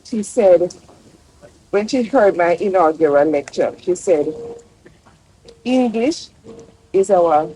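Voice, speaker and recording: An older woman with a Jamaican accent, a professor talking as a guest in a relaxed outdoor interview, telling stories lively with local phrases. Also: fairly low pitch, takes it slowly, pauses often, breathy edge, low-quality recording. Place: outdoor courtyard under a large tree.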